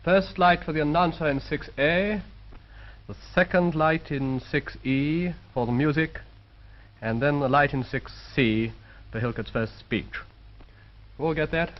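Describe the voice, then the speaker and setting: A man's voice: a little high, a little slow. A man, a radio drama producer, giving studio instructions in a 1930s film. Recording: dull lo-fi 1930s film soundtrack.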